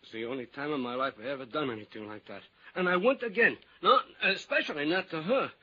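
An actor playing a nervous, lovesick ex-convict who stammers in slang, in the style of 1950s detective radio drama. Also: male voice, on the high side, lively intonation, fast, husky. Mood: defensive, agitated. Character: nervous, lovesick small-time ex-convict man called Shorty. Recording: dull old radio recording.

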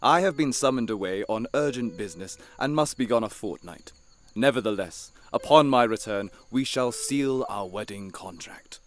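Man acting dashing, florid gentleman suitor. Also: on the low side, expressive, normal speed, lightly acted. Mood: courtly. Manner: florid, formal period diction. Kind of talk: audio drama acting and narration.

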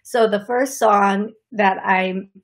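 A middle-aged woman with a raspy voice from allergies, hosting her psychic medium show in a chatty, apologetic way from her living room. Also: mid-range voice, fairly even intonation, takes it slowly. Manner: chatty, apologetic, laughs often, gestures with hands. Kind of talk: solo livestream talk to viewers. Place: living room, seated on leather couch.

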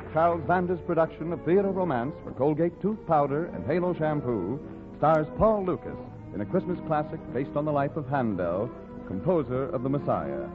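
A man announces a vintage 1940s radio show from a script. His delivery swings expressively, and the old recording is narrow and tinny with faint music. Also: fairly high pitch.

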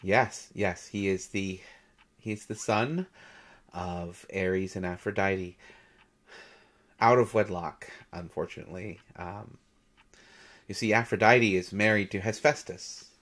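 Audio drama role, male actor: shy male god posing as substitute teacher, lowish voice, very slow halting delivery, gentle storyteller. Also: steady tone. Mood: thoughtful, playful. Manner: hesitant, nervous, halting with soft chuckles. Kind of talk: intimate audio roleplay, storytelling lecture.

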